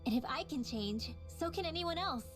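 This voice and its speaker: A woman's voice: high voice, expressive, normal speed, faint music behind. An actress voicing an earnest, thoughtful young woman mage in a video game cutscene, speaking sincerely. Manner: sincere, reflective.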